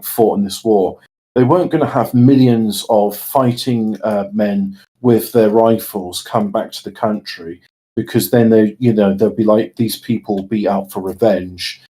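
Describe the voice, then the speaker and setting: A man's voice: fairly low pitch, mellow, rough recording. A man co-hosting a podcast in casual conversation, explaining his views and acting out imagined quotes as he goes. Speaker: podcast co-host.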